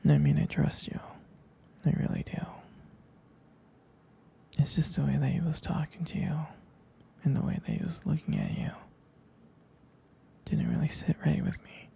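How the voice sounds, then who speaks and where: A man performing an intimate ASMR boyfriend roleplay as a protective, affectionate partner, speaking in a hushed, hesitant, tender murmur. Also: sing-song, moderate pace, long pauses. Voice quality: breathy, gravelly low murmur.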